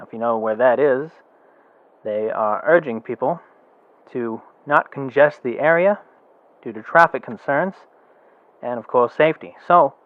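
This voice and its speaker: A man's voice: medium pitch, dry recording, natural voice. An actor playing a male radio studio announcer with casual, rambling disc-jockey patter. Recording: tinny phone-like audio.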